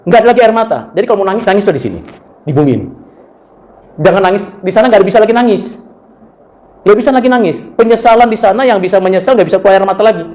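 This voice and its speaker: A man's voice: high-pitched, racing. A middle-aged Indonesian man preaching a sermon, telling personal stories and acting out the people in them. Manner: animated storytelling, acts out quoted voices. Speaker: preacher and university lecturer.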